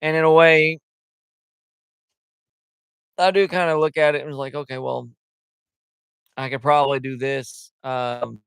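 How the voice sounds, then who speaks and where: A man's voice: mid-range voice, level tone, measured pace, vocal fry, pauses often, fairly bright tone, smooth, dry recording. A man hosting a casual livestream, rambling in a loose, chatty way with lots of fillers, talking into a headset mic. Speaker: livestream host.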